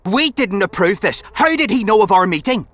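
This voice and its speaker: A haughty fantasy nobleman full of indignation. His voice is high and piercing, swooping sharply as he protests in video game style. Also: expressive, brisk, fairly bright tone. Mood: outraged. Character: indignant, haughty nobleman conspirator, easily outraged.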